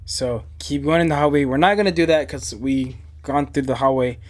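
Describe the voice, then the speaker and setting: A man's voice: mid-range voice, a little fast. A man hosting a reaction video with informal, slangy, casual commentary.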